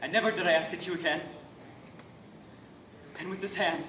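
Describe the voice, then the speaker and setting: A man's voice: high-pitched, fairly even intonation, moderate pace, bright, forceful tone. Man playing a pleading young prince, echoey room. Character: gentle young prince pleading with his jailer. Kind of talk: amateur Shakespearean stage monologue. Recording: echoey, noisy room, distant microphone.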